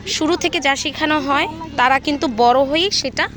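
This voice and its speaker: A woman's voice: sits high, moderate pace. A young woman with a Bangladeshi accent chats casually to her viewers as a vlogger, mixing in English words. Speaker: vlogger, host. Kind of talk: casual vlog chat to viewers. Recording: outdoor vlog with crowd and vehicle noise.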